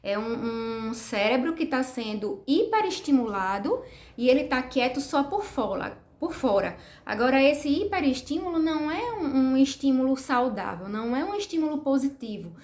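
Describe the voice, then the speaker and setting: A woman, a speech therapist explaining to parents in a didactic way, often checking in with "tá?" and slowing down to stress words. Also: high voice, big pitch swings, darkish tone. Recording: echoey room.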